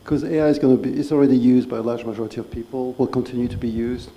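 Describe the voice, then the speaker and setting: A man speaking as a conference panelist, measured and deliberate, posing pointed questions. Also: medium pitch, fairly even intonation, highs cut off, a little hiss or hum. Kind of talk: conference discussion. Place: conference hall.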